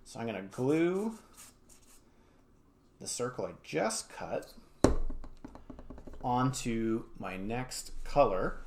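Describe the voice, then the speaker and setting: A man's voice: mid-range voice, sing-song, takes it slowly, pauses often. A man giving a casual, step-by-step craft tutorial as an art educator, rambling a little with informal asides. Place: home studio. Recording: clear background noise, small clicks.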